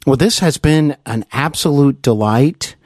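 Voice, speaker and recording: A man's voice: a little high, darkish tone, dry recording. Effusive male interviewer, close and dry. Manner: effusive, reverent, halting phrasing. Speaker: interview show host. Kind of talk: conversational celebrity interview.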